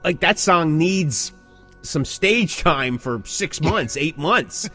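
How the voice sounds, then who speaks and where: A man's voice: on the high side, animated, slow, sharp tone, faint music behind. A man co-hosting a podcast in casual chat, repeating phrases for emphasis. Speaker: podcast co-host.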